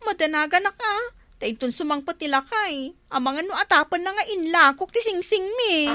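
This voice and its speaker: High-voiced anxious housewife played by a woman. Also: lively intonation, normal speed, noisy background. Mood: worried. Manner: plaintive, exclaiming. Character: distressed housewife and anxious wife. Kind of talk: comedy drama acting.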